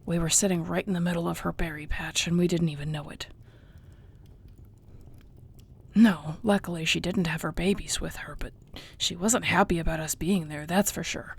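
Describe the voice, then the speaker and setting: Female actor as battered orc girlfriend for fantasy audio roleplay: gravelly, husky, wry, weary, intimate and fond. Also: lowish voice, fairly even intonation, a little fast, few pauses. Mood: fond storytelling. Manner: sighing, conversational, wearily understated.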